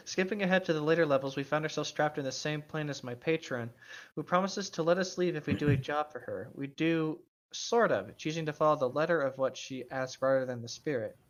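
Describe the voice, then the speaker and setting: A man's voice: fairly high pitch, a little fast. A man casually reading stories aloud and bantering as a co-host, blunt and joking, on a lo-fi recording. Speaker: co-host reading online tabletop gaming horror stories. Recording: dull, lo-fi microphone sound.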